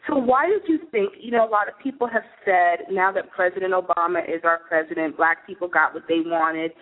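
A woman's voice: lowish voice, fairly quick, narrow, tinny audio. A woman hosting a talk radio show, chatty and earnest, introducing topics and guests. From New Jersey, USA. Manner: chatty, earnest, warm asides.

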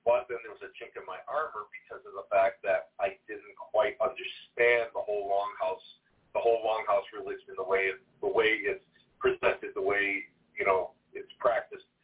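A middle-aged man working as a paranormal investigator. He has a loud voice and talks in a rambling way, full of "you know" and "I mean". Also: lowish voice, fairly even intonation, normal speed. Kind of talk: conversational interview. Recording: phone-like band-limited audio.

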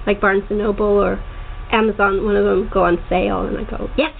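A woman's voice: medium pitch, flat delivery, brisk. Chatty woman on a tinny phone line. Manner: chatty, giggly, rambling. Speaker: talk show host. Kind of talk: casual interview chat. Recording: tinny phone line with clear background noise.

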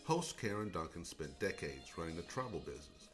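A man's voice: low voice, sing-song, fairly quick. A man reading a polished, scripted intro for a travel show. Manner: polished announcer delivery. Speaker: show announcer. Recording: faint music bed underneath.

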